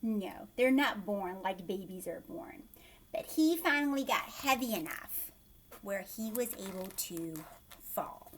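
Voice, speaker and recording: A woman's voice: mid-range voice, expressive, a little slow, slight background noise. A woman teacher reading a picture book aloud to young children, expressively, with questions and asides to her listeners.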